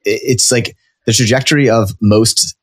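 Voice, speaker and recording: An upbeat man hosts a podcast interview from a studio. He talks in a casual, chatty way, full of fillers and restarts. His voice sits at a middling pitch, and the recording is dry and close. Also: steady tone, unhurried, slightly rough, no room sound. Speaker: podcast host.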